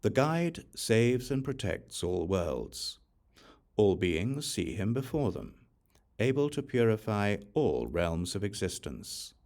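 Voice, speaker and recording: A man reading a Buddhist scripture aloud as an audiobook, in a measured, solemn recitation. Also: on the low side, sing-song, a little mellow. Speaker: audiobook reader.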